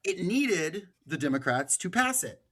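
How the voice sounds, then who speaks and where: A man's voice: high voice, sing-song, measured pace, sharp tone. American man hosting a political podcast. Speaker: podcast host. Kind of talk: political podcast commentary, partly read from notes.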